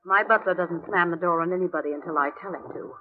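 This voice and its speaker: A woman's voice: fairly low pitch, fast talker, lightly acted. A woman playing a hard, sullen gangster's moll in a 1950s radio crime drama, curt, slangy and defiant. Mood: icy, guarded. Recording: dull, noisy old radio recording.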